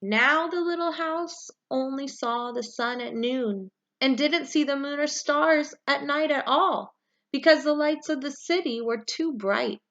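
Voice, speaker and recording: A woman reading a children's picture book aloud, with expressive, deliberate storytelling phrasing. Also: high-pitched, muffled recording. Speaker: story reader, read-aloud for young children.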